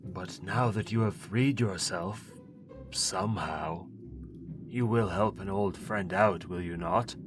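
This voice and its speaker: A man's voice: sits low, takes it slowly. A man voicing an ancient, pompous necromancer in an audio roleplay, speaking in a theatrical, wheedling way. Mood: scheming, persuasive. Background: music.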